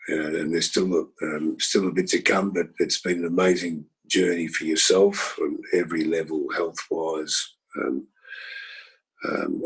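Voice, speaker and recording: A husky-voiced man reminiscing in a rambling conversational way, with many pauses and fillers. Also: extremely low pitch, fairly bright tone, poor audio. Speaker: record label executive.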